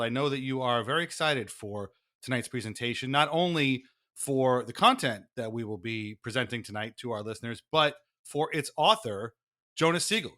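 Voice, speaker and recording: A man's voice: medium pitch, pushed and bright. A man hosting a podcast in a theatrical, announcer-like, mock-formal way, moving between banter and dramatic reading. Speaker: podcast host.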